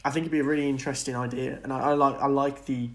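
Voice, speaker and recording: A man's voice: mid-range voice, level tone, rapid-fire. A man co-hosting a podcast, chatting casually and earnestly as he speculates about film and TV. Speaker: podcast co-host.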